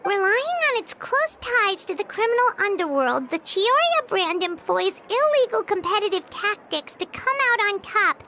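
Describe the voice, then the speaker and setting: A woman voicing a small, chirpy female fairy companion in a video game, with a high, animated delivery. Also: a little hiss or hum, exaggerated voice, poor audio. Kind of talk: game voice acting.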